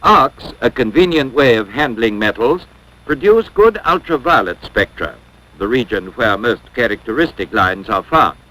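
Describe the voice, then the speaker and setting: A man narrating a 1950s educational film from a script in a measured, formal, instructional way. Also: mid-range voice, sing-song, pushed and bright, rough recording.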